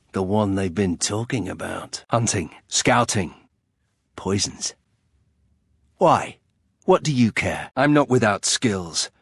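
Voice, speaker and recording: A man's voice: on the low side, expressive, takes it slowly, pauses often, slightly rough, dry recording, full character voice. An actor playing a bitter, proud young nobleman turned warrior in a fantasy game, with a dry, sardonic, clipped delivery. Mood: sardonic, defiant. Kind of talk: fantasy video game voice acting.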